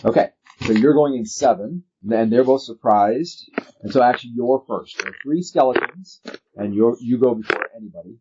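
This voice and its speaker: A man with a husky voice narrating a tabletop game as game master, explaining things with hesitant pauses. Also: medium pitch, noisy background. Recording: muffled lo-fi recording.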